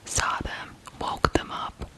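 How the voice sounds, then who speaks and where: A person from Ukraine telling stories in whispered ASMR style, softly and deliberately, pausing between short phrases. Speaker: ASMR true-crime host.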